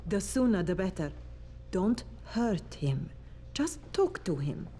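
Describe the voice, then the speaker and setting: A woman playing a composed, authoritative survivor-camp doctor in a video game, brisk and commanding. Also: medium pitch, sing-song, warm tone, a little hiss or hum. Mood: concerned, brisk. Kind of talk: video game cutscene dialogue.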